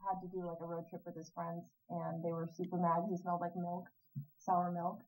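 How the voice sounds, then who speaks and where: A woman's voice: lowish voice, completely flat delivery, brisk, low-quality recording. A woman chatting casually as an interview guest, telling little stories and acting out quotes. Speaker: interview guest, singer-songwriter.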